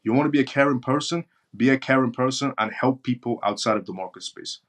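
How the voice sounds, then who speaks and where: A man's voice: medium pitch, fast talker. A man from northern Iran with as his hosts a live stream, answering viewer chat bluntly and repeating his points for emphasis. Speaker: live-stream show host.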